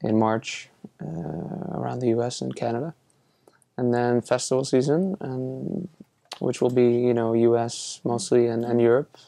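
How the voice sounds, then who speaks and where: A young man from Iceland talks through interview answers in a relaxed, hesitant way, with plenty of fillers and restarts. His voice is gravelly and dark, and his pitch stays flat and even. The sound is dry and close. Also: a little slow, dry recording. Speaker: musician being interviewed.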